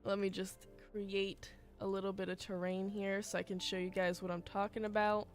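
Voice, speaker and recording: A woman livestreaming as she builds a game, talking in a chatty, rambling way with lots of fillers, her voice a little hoarse from a cold. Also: mid-range voice, level tone, normal speed, faint music behind. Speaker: game streamer. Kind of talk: casual livestream chat while building.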